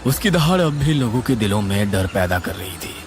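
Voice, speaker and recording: A man narrating a scripted horror story in a suspenseful, dramatic, expressive way. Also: lowish voice, normal speed. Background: music.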